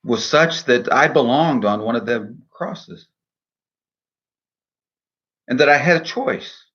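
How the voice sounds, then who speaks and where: Slow-paced man giving an earnest devotional reading. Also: medium pitch, animated, a bit bright, dull audio. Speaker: minister and poet reading own poem. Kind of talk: devotional poetry reading and reflection.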